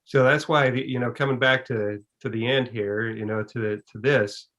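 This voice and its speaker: A man presents and answers questions on a video call as the guest speaker. His voice sits at an average pitch. He explains things emphatically, with a folksy turn of phrase. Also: steady tone, moderate pace, fairly bright tone. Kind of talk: online presentation and Q and A.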